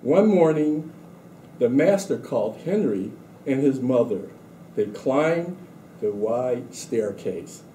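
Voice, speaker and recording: Man, very soft dark tone, slow deliberate read-aloud for children, school administrator, roomy echo. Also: medium pitch, lively intonation. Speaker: school administrator introducing and reading a story. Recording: roomy echo with faint background noise.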